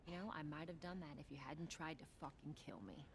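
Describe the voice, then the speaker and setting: An actress playing tough, commanding women in a video game drama, with a husky voice. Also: female voice, deep voice, quick pace. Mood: cold, commanding.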